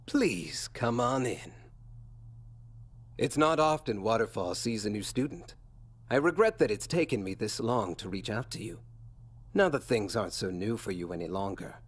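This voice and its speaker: A man playing an unctuous, quietly threatening school official, speaking formally and theatrically in a video game. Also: lowish voice, animated, breathy edge. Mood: falsely welcoming. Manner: formal, measured, insinuating.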